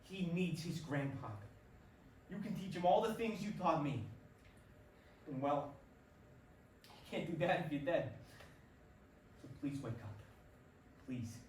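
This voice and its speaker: A man's voice: a little high, lively intonation, unhurried, pauses often. Man acting an earnest, grieving ballplayer, distant and roomy. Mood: scared pleading. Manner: pleading, earnest. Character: Joey, earnest ballplayer, expectant father grieving his dying dad. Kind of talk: staged play reading, emotional dialogue. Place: theatre stage at a staged reading. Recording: roomy stage recording, distant mic, faint noise.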